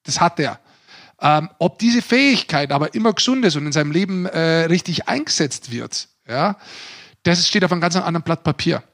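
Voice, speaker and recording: Higher-voiced man, earnest podcaster. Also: lively intonation, normal speed, fairly bright tone. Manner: earnest, philosophizing, searching for words. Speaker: podcast co-host. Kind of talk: casual reflective podcast talk.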